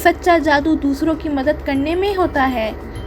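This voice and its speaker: A woman's voice: sits high, unhurried, music in the background. A woman voicing a kind big-sister village librarian in a children's story, friendly and encouraging. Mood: kindly.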